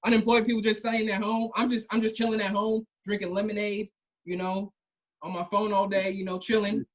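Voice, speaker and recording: A man's voice: sits high, level tone, brisk. A man co-hosting a comedy podcast, arguing his point and repeating himself a lot. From Los Angeles. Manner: argumentative, repetitive. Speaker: podcast co-host. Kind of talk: casual comedic chat.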